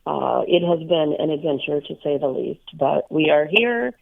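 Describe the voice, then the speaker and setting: Hoarse woman co-host calling in by phone; gravelly, raspy, casual and filler-heavy. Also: low-pitched, animated, normal speed. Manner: chatty, many fillers. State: hoarse, recovering from illness. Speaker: radio co-host. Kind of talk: casual radio chat. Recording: tinny phone line.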